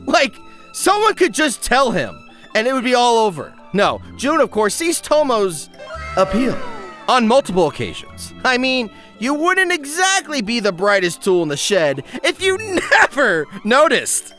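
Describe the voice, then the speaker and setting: A man's voice: very high voice, wildly swooping pitch, measured pace, sharp tone, faint music behind. A man narrating a scripted comedic anime review in a wry, exaggerated, joking way. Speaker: video essay narrator.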